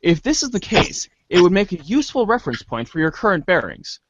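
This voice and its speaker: A man's voice: high-pitched, big pitch swings, a little fast, no echo, lo-fi sound. A man giving a theatrical dramatic reading of scripted narration, now and then stumbling and rereading words. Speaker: voice reader in a webcomic reader's theater.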